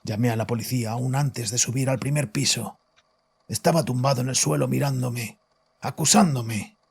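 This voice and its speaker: A guilt-ridden father acted by a man makes a cold, haunted confession in an audio horror drama. His mid-pitched voice is gravelly and very hoarse-edged, with bitterness underneath. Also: moderate pace. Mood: flat guilt. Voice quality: raspy, husky. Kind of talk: dramatic audio fiction monologue.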